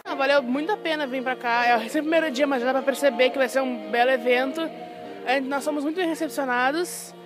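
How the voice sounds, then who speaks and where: A woman's voice: high-pitched, fairly quick, music in the background. A young woman, a student answering casually in an outdoor interview. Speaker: university student. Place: outdoor campus steps. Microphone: handheld reporter mic.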